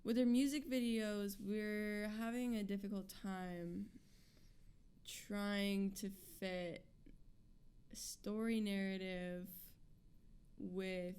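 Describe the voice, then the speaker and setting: Young woman, 21, rambly chatty band member in a radio studio, smooth clear voice. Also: medium pitch, fairly even intonation, very slow, darkish tone. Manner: chatty, many fillers, giggly. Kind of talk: casual interview chat.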